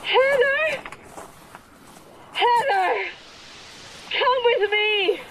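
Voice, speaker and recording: An actress as a frantic woman giving orders as she flees in a radio drama. Her voice is extremely high, with drawn-out urgent cries against clear background noise. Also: lively intonation, exaggerated voice. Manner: urgent, exclamatory. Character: frantic, commanding woman fleeing disaster. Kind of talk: audio drama acting.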